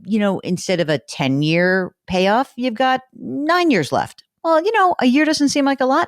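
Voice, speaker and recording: A woman's voice: a little high, no echo, studio quality. A woman hosting a personal finance show, talking in a chatty, emphatic way. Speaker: money show host. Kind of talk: conversational radio podcast talk.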